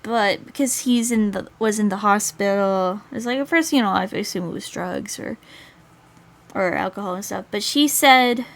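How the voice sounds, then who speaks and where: A woman's voice: mid-range voice, moderate pace. A woman streaming a visual novel read-aloud, giving casual, hesitant, rambling commentary between passages.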